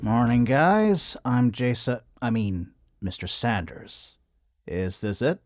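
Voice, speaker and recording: An actor playing a tired, awkward young substitute teacher who speaks in a hesitant, low-key way. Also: male voice, very animated, slow, soft background music.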